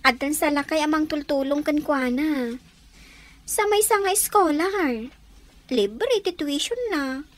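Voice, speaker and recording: Very high-pitched, worried young woman. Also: slow. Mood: earnest, explaining. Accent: Ilocano. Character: worried, respectful young woman, loyal friend. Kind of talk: Ilocano radio drama acting.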